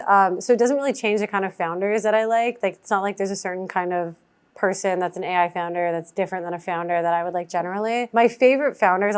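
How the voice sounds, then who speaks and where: A woman venture investor answering audience questions in casual, chatty talk, filling her speech with "like" and acting out other people's lines. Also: clean tone. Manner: chatty, heavy use of "like" fillers; acts out founders' lines in a higher voice.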